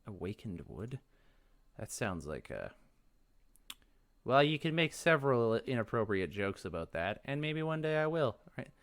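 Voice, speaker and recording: A man's voice: mid-range voice, sing-song, moderate pace, a bit bright. A man giving casual video game commentary, rambling and musing aloud as he thinks things through. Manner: rambling, musing, talks to himself. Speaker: let's play host.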